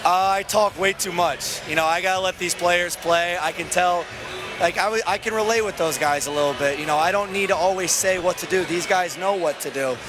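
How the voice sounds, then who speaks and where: A raspy young man answers questions courtside as a winning coach, talking fast and excitably. His voice is slightly high for a man. Arena noise comes through clearly behind him. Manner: fast, excitable, self-deprecating.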